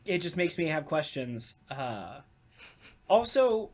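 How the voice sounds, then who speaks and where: Podcast cohost just chatting, takes their time, rambly and kinda wry, medium pitch. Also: sing-song, slow. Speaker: podcast co-host. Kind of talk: casual chat about a radio play.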